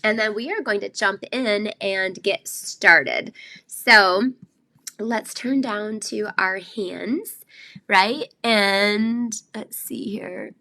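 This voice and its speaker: A woman's voice: medium pitch, very slow, creaky, pushed and bright. An older woman hosting a live craft class stream, chatty and rambling with lots of fillers, sounding a bit nasal from a cold. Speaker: craft show host.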